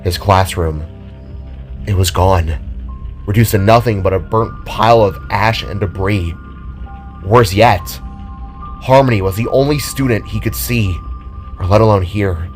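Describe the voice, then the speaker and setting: A man with a fairly low voice tells a dramatic story as its narrator. His delivery is solemn and theatrical, with music playing behind him. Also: a little slow, long pauses.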